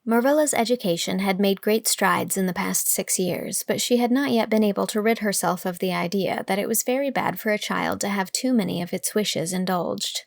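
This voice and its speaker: A woman's voice: medium pitch, moderate pace, vocal fry, hardly any pauses, dry recording, clean studio sound. A woman reading scripted audiobook narration as the narrator.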